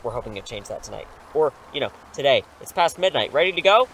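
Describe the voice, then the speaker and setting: A man's voice: medium pitch, sing-song, quick pace, a bit bright, slight background noise, rough recording. A man playing an eager, cocky English teacher who is secretly a superhero, breezy and persuasive, in an audio drama. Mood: easygoing.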